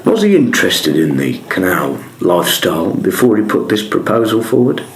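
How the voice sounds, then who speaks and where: A man's voice: low voice, sing-song, normal speed, breathy edge, low-quality recording. A man reporting for a radio documentary, reading scripted narration and putting interview questions.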